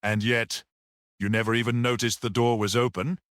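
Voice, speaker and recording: A man's voice: mid-range voice, little pitch movement, normal speed, fairly bright tone, clean tone, no echo, clean studio sound, lightly acted. A man voicing a gaunt male prosecuting barrister in an audiobook, incredulous and insistent in his questioning.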